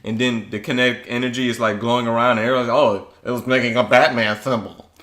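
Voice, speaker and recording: A man's voice: medium pitch, fairly even intonation, fast, a bit bright. A man in a casual chat who explains his points with examples.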